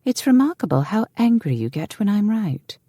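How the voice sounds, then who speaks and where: A woman voicing a small, composed noblewoman in a storybook, sharp-tongued and pointed. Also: medium pitch, sing-song, moderate pace, breathy edge, no room sound, clean studio sound, pitched above the performer's own voice. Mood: cool, scornful. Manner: cool, pointed.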